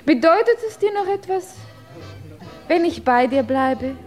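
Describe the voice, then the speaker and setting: An actress playing a grave, unflinching wife in a 1950s German radio drama, probing and restrained. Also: female voice, extremely high pitch, lively intonation, unhurried, soft background music. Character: grave, unflinching wife of a cold, powerful man.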